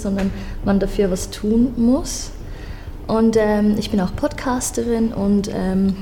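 A woman in her thirties introducing herself on a panel, earnest and a little halting. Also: mid-range voice, dark tone, slight background noise. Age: 30s. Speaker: guest, activist and podcaster.

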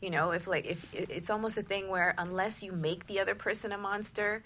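A woman's voice: medium pitch, fairly even intonation, fairly quick, fairly bright tone, a little hiss or hum. A woman hosting a talk show, giving conversational advice in an emphatic manner.

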